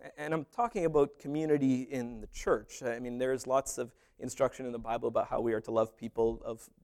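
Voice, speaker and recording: A man preaching a sermon as pastor, animated, with humorous asides and little acted-out dialogues. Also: medium pitch, fairly quick.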